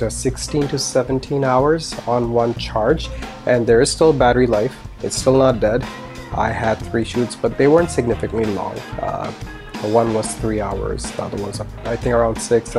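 A man in his thirties giving a casual product review in a conversational way, with asides. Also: medium pitch. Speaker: gear reviewer, indie filmmaker. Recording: music under the voice. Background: instrumental music with guitar.

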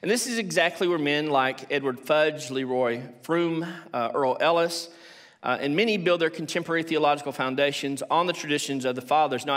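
An older man lectures on theology from a stage podium in a big auditorium. His voice sits a little higher than most men's. He breaks from his script now and then for asides and rhetorical questions. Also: a bit bright. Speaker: theology professor giving a conference talk. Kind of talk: academic lecture at a church conference. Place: stage of a large auditorium with a seated audience. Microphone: podium microphone.

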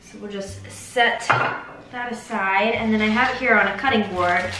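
A woman's voice: medium pitch, fairly even intonation, unhurried. A young North American woman chatting enthusiastically to camera as she hosts a casual cooking tutorial in her home kitchen. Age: young adult. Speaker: baker hosting a recipe video. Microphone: camera mic a few feet away. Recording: roomy, distant camera sound with music.

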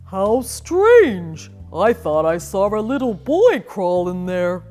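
A woman's voice: medium pitch, sing-song, slow, soft background music, pitched below the performer's own voice. A woman voicing a courteous, apologetic, hiccuping long-necked dinosaur the size of a big dog in a children's story. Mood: curious, polite. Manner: courteous, gently apologetic, well-spoken.

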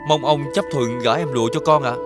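A man playing a poor, polite young suitor in an animated fairy tale, deferential and respectful. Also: medium pitch, fairly quick, close to an everyday voice. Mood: deferential, careful. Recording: clear music behind the voice.

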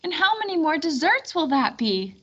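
A woman's voice: high voice, big pitch swings, normal speed, sharp tone, muffled recording, pitched above the performer's own voice, heavily acted. A woman voicing a practical, cheerful medieval lady in a children's storybook. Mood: wondering.

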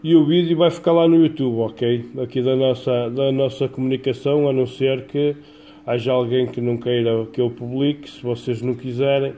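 Male radio hobbyist, soft dark tone, colloquial chat, light noise behind. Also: medium pitch, fairly even intonation, moderate pace. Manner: colloquial, folksy. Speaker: amateur CB radio operator. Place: small home radio shack. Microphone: phone filming the radio, off-camera speaker. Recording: clear background noise, home-made phone recording.

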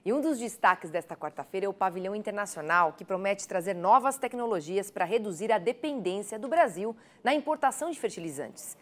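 Brazilian lady anchoring the news from a studio, medium pitch, reading a script.

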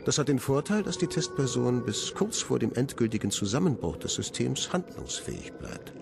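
An actor playing a cold, clinical scientist with deadly plans in a radio drama, speaking in a detached, formal, precise manner. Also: male voice, medium pitch, sing-song, moderate pace, gravelly, over music. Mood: coldly clinical. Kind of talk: German radio drama monologue.

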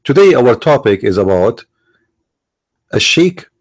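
A middle-aged man with an Arabic accent hosting a commentary monologue, halting, restarting words and stressing his points. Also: medium pitch, big pitch swings, husky. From Middle East. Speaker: online show host.

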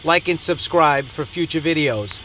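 A man's voice: on the high side, lively intonation, normal speed, fairly bright tone, a little hiss or hum, low-quality recording. A man hosting an amateur radio video channel, narrating in an upbeat hobby vlog style.

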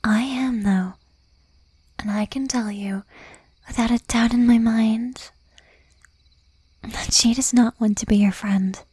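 A woman voicing a jealous, possessive young demon girlfriend in a breathy, intimate whisper with pointed pauses, for an ASMR roleplay. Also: fairly high pitch, fairly even intonation, darkish tone, no echo.

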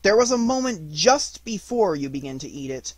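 A man's voice: high voice, very animated, normal speed, a little mellow, dry recording. A man narrating a children's storybook from a script as an audiobook reader.

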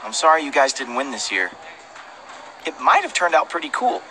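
A man's voice: fairly high pitch, very animated, normal speed, pushed and bright, a little hiss or hum, low-quality recording. An actor playing a laid-back, slightly sheepish young man in a video game, casual and awkwardly friendly. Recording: street ambience behind the voice.

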